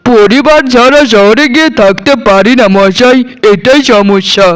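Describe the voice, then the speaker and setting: A man's voice: high voice, normal speed, clean tone, natural voice. A man voicing a genial, chatty villager in a cartoon, polite and neighbourly, with music behind him. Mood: friendly. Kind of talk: cartoon voice acting.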